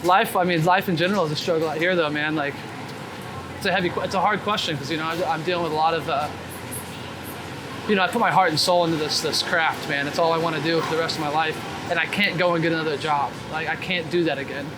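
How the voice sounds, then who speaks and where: A man's voice: a little high, steady tone, fast talker. A man being interviewed as a street photographer, talking casually and candidly with swearing and frequent filler words like "man". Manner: informal, profane, peppered with "like" and "man". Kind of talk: casual, candid interview chat while walking. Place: outdoors on a city street. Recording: clear outdoor traffic and city noise.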